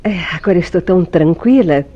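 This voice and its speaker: An actress playing a secretive young wife in an old-fashioned Brazilian radio soap, with emotive, formal diction and a Brazilian accent. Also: female voice, fairly low pitch, quick pace, highs cut off, natural voice. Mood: reassuring, evasive. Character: secretive young wife, tender, anxious, sweetly deceitful.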